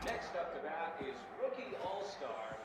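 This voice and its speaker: A man playing an excited radio baseball announcer calling the game in a video game scene. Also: on the high side, unhurried, over music. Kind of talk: sports commentary.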